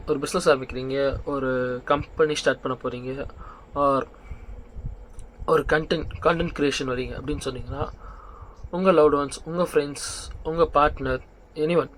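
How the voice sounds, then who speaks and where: A man's voice: a little high, slight background noise, poor audio. A young man giving informal motivational advice as a vlog host, conversational and mixing in English phrases, often checking in with the listener. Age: young adult. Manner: conversational, checks in with rhetorical questions.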